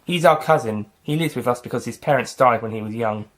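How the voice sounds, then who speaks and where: A man voicing a young woman companion and big sister in an audio story, friendly and bright. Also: mid-range voice, a little fast, lightly acted.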